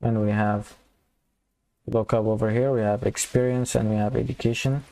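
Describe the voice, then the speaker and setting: A man teaching a coding lesson, thinking aloud and restarting phrases as he types, his voice slightly hoarse. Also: fairly low pitch, flat delivery, normal speed, warm tone. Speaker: programming tutor. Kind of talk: casual screen-recorded coding tutorial.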